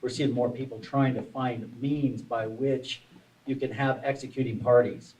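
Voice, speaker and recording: A man's voice: medium pitch, fairly even intonation, normal speed, darkish tone. A man on a panel, explaining at length and often restarting his phrases. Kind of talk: panel discussion. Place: conference room at a forum. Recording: distant room mic.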